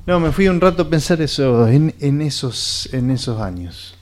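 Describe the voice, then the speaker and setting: A man's voice: medium pitch, lively intonation, moderate pace, darkish tone, natural voice. An actor playing a distracted, hesitant man who rambles and trails off in a naturalistic radio play. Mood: musing, nostalgic.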